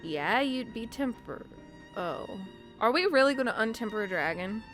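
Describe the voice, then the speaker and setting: A woman's voice: a little high, big pitch swings, moderate pace, a bit bright, faint music behind. A woman hosting a game playthrough with casual, conversational commentary.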